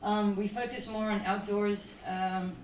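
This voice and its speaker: A woman's voice: medium pitch, fairly even intonation, unhurried. A woman from Dubai speaking on a conference panel as an events promoter, chatty and loose with many fillers. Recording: echoey room, voice from a distance, faint noise.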